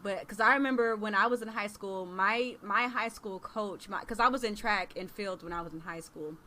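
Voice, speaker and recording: A woman in her thirties, a kindergarten teacher hosting a casual chat over a video call, animated and expressive, laughing often. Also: mid-range voice, moderate pace. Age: 30s. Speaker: kindergarten teacher and podcast host.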